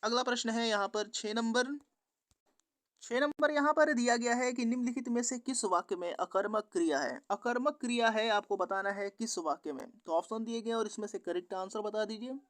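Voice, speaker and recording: A man's voice: sits high, normal speed, a bit bright, dry recording. A man teaching an exam-prep lesson, reading out quiz questions and answers in a repetitive, instructional way.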